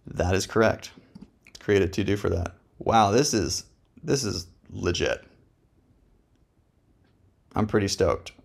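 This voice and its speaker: A man talks through a software review in a fairly low voice with plenty of gravelly fry. He thinks aloud casually, using slang and dry jokes in a laid-back, unscripted way. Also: slow, long pauses.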